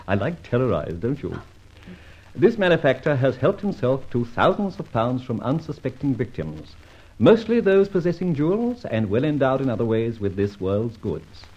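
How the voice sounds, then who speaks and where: A suave, mocking gentleman thief in a vintage radio play. His husky voice rolls through ironic, amused lines with plenty of rise and fall. The recording is muffled and lo-fi. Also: medium pitch, big pitch swings, moderate pace. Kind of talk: 1940s radio acting. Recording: dull lo-fi old radio recording.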